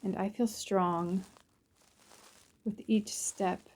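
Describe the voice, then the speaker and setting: A woman narrates a guided visualization in a soothing, unhurried way, in short phrases with pauses between them. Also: medium pitch, fairly even intonation, a little mellow. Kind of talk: guided visualization, first-person present-tense narration.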